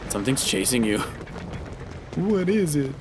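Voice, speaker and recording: A man's voice: medium pitch, very animated, a little slow, background noise. A man, middle-aged, giving casual let's play commentary in a playful, coaching way as a father guiding his child. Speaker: father guiding child through a video game.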